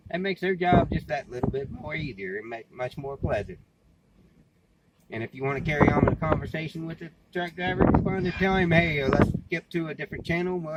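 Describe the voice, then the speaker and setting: Male American vlogger, slightly high-pitched, folksy and plain-spoken, rambling casually with repeated phrases over clearly audible noise. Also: lo-fi sound. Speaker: vlogger and CB radio hobbyist. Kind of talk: casual rambling talk to camera. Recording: clearly noisy background, amateur recording.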